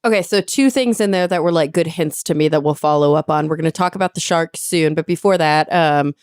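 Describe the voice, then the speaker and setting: A low-pitched woman in her thirties hosts a casual interview, talking loosely and thinking out loud with plenty of fillers. Her voice is close and dry, with no room sound around it. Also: fairly quick, smooth, no room sound. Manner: chatty, thinks aloud with many fillers. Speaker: podcast host.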